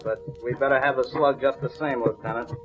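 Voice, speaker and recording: A man's voice: mid-range voice, fairly even intonation, a little fast, over music, lightly acted. Male actor as obliging police detective, casual, careful, deferential, 1940s radio drama, muffled vintage recording.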